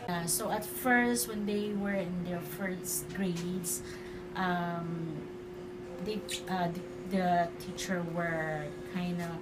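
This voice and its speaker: A woman from the Philippines answering interview questions with many pauses and fillers. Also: lowish voice, steady tone, very noisy. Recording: loud music over the voice.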